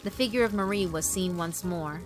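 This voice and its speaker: A woman's voice: on the low side, normal speed. A woman narrator reading a scripted story in a dramatic storytelling style. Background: background music.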